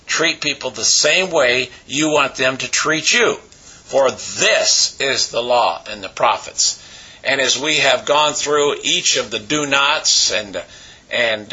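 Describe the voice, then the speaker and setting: A man with a raspy voice, hoarse from a cold, preaching a sermon as pastor with many pauses and fillers. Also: mid-range voice, sharp tone, highs cut off.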